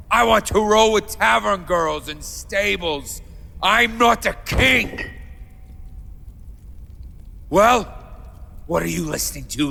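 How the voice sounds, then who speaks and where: A man's voice: high voice, creaky, exaggerated voice. An actor playing an embittered, hot-tempered human king in a fantasy audio drama, brooding and commanding. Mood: furious. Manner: commanding, brooding, erupting into shouts. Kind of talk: full-cast fantasy audio drama acting.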